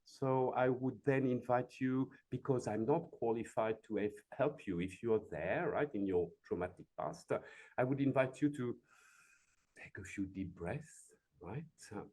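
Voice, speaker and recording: A man leading a live coaching demonstration as an executive coach, speaking gently and invitingly, with many fillers and "right?" check-ins. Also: on the low side.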